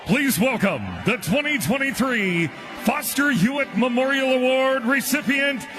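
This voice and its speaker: A male arena announcer booms out ceremonial introductions at a slow pace. His high, projected voice swoops widely as he stretches each name, with music behind him in the big arena. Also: lively intonation. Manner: booming, drawn-out names. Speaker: arena public address announcer. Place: large indoor hockey arena.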